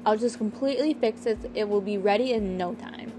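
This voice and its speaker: A woman's voice: medium pitch, brisk, mellow, a little hiss or hum, close to an everyday voice. A woman voicing a capable, assertive grown daughter who works as a doctor, in an amateur roleplay drama. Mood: focused. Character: capable, busy grown daughter, a doctor, hurt but forgiving.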